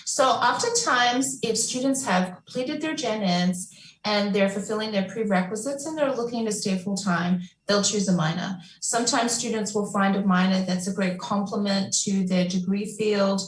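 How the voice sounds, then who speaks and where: A woman's voice: mid-range voice, normal speed, slight background noise. A woman advisor answering a student question on a panel in an upbeat, friendly, explanatory way. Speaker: academic advisor. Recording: echoey room, distant mic.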